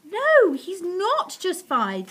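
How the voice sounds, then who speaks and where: A woman performs a sweet, besotted lady from a children's storybook. The voice is high and swoops widely, with a bright edge. She gushes and exclaims, full of adoring excitement. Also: normal speed, heavily acted. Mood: fretful. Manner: gushing, exclamatory, emphatic.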